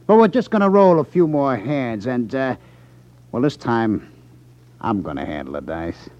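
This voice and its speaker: A menacing American racket boss acted by a man in a 1950s radio crime drama. He is gruff and short-tempered, with a medium-pitched voice and a sardonic sneer. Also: sing-song, moderate pace, darkish tone, no echo, lo-fi sound, natural voice. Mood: mock-polite menace.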